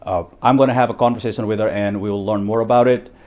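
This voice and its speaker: A man's voice: lowish voice, fairly even intonation, fast, a little mellow. A man speaking as a talk show host in a conversational interview.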